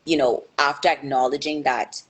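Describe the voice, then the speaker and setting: A woman's voice: rumbling low, steady tone, moderate pace, slightly rough. A young woman answering interview questions in a thoughtful, hesitant way, with many fillers and restarts. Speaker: guest.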